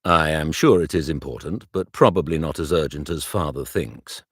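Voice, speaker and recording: A man doing a stiff, reserved young gentleman. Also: a bass voice, normal speed, slightly rough, no echo, studio quality, full character voice. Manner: clipped, formal, reserved.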